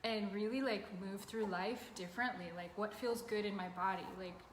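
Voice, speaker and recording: A woman talking casually about herself as a coaching client, halting and peppering her sentences with "like". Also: mid-range voice, fairly even intonation. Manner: halting, conversational, heavy use of "like". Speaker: coaching client working in real estate. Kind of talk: casual personal chat. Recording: echoey room with background noise.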